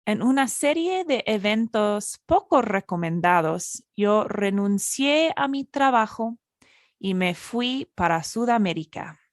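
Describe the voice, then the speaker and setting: Slow, measured woman teaching. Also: mid-range voice, lively intonation, dry recording, clean studio sound. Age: 30s. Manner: slow, deliberate reading. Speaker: Spanish teacher and podcast host. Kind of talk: scripted bilingual story narration for learners.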